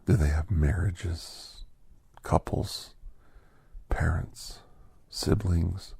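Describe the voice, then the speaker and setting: A man voicing a kindly, reflective older doctor in soft, half-whispered ASMR storytelling, with breathy, halting short phrases. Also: deep voice, fairly even intonation, dry recording, voice pushed down, full character voice. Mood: hushed, reflective. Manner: unhurried, pausing between short phrases. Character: older doctor recounting his gentle alien encounters, reflective, kindly.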